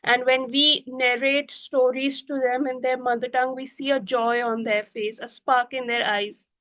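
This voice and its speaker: High-voiced Urdu-speaking woman teacher. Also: level tone, no room sound. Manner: gentle, unhurried, frequent "you know" and "uh". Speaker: Montessori teacher. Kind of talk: reflective talk to camera.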